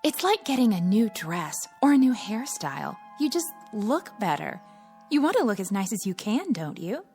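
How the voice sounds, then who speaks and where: An actress playing an insistent, incredulous young best friend who follows the crowd, in a radio drama. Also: female voice, on the high side, very animated, moderate pace, darkish tone, soft background music. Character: pushy, baffled, conformist young female best friend.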